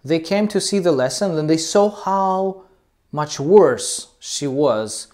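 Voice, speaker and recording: A man's voice: fairly high pitch, expressive, slow, a little mellow. A man who teaches English, a non-native speaker, talking loosely about teaching with many ums and uhs. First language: not English. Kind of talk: casual talk to camera about teaching.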